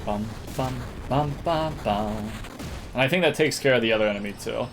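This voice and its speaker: A man's voice: mid-range voice, normal speed. Man casually commentating a video game over music. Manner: thinks aloud, hums little nonsense tunes. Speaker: video game streamer and speedrunner.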